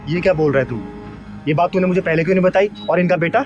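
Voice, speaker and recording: A man's voice: high voice, very fast, a little mellow, highs cut off, soft background music, close to an everyday voice. A man playing a bold, righteous kinnar elder sister in a Hindi short film, speaking emphatically. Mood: suspicious.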